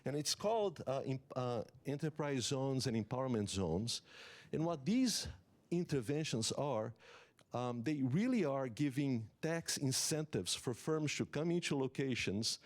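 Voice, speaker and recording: Man, English, conference keynote, explanatory, halting restarts. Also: medium pitch, expressive. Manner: earnest, explanatory, with many fillers and restarts. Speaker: economist giving a summit talk.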